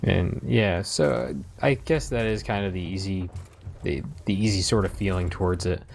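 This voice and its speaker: Low, creaky-voiced American man. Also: normal speed, a little hiss or hum. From United States. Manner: rambling, conversational, thinking aloud. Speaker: host of a gaming commentary. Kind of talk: casual discussion commentary.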